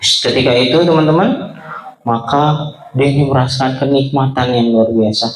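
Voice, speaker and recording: A man narrating a story live for children in an animated way, addressing his young listeners directly. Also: medium pitch, normal speed. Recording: echoey room.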